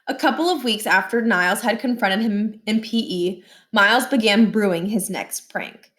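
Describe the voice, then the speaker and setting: A woman's voice: mid-range voice, normal speed, fairly bright tone. Woman reading a children's novel aloud. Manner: acts out the dialogue of the characters. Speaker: reader of a children's book. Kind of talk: read-aloud storytelling with brief explanatory asides.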